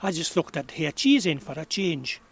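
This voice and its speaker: A man's voice: fairly high pitch, sing-song, normal speed, breathy edge, exaggerated voice. An actor playing a kindly, nostalgic old man of about eighty with a broad rural Perthshire Scottish accent, reminiscing in a folksy way in an audio drama. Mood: amused, reminiscing. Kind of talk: naturalistic audio drama storytelling.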